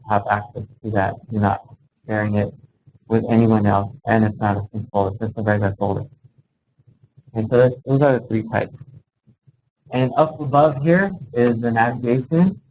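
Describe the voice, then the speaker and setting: A man with a fairly low voice leads an informal workplace training session. His delivery is very even and unanimated as he explains things plainly, one step at a time. There is some faint noise behind him in the live recording. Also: low-quality recording. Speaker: presenter of a workplace software training. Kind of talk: informal instructional presentation to a group.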